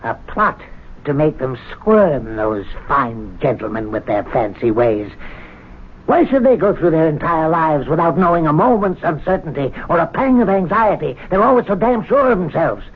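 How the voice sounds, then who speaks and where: A man's voice: fairly high pitch, big pitch swings, normal speed, slightly rough. An older actor playing a resentful older male servant who plots pranks on club members, talking with sly, chuckling glee. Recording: tinny 1970s radio broadcast.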